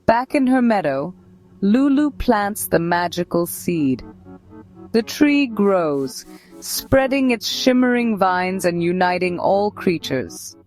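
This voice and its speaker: A woman's voice: medium pitch, lively intonation, takes it slowly, darkish tone, faint music behind. A woman narrator hosting a children's story channel, reading a scripted tale for young listeners.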